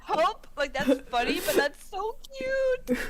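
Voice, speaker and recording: Woman, high pitch, very lively intonation, silly, giggly, casual line reading, low-quality microphone. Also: extremely slow, very noisy. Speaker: co-reader of fan fiction. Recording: poor-quality amateur microphone.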